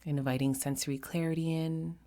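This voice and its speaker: A woman leading a guided meditation in a gentle, unhurried manner, pausing often between short phrases. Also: low-pitched, little pitch movement.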